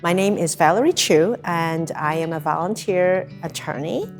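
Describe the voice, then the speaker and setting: A woman's voice: fairly low pitch, normal speed. Woman from Hong Kong, music behind her. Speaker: volunteer attorney. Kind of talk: testimonial interview.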